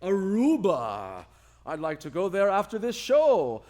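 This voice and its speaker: A man's voice: sits high, wildly swooping pitch, unhurried, a little mellow. A man hosting a live radio drama show with grandiose, playful, formal showmanship.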